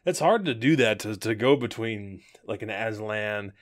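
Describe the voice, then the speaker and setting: A man's voice: on the low side, animated, moderate pace, no echo. A man co-hosting a podcast in casual chat, joking and laughing often. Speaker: podcast co-host.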